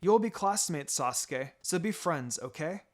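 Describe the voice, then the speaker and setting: A man's voice: on the high side, animated, a little slow. A man voicing a loving, protective mother in a dramatic story reading, tender and instructing, over music. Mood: gentle. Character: loving, protective young mother of a small girl. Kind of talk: dramatic fan fiction reading. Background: background music.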